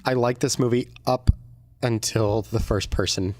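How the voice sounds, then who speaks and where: A man's voice: medium pitch, steady tone, measured pace, darkish tone, no echo, clean studio sound. A man co-hosting a podcast, chatting casually and giving his opinions on films. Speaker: podcast co-host. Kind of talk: casual chat, film opinions.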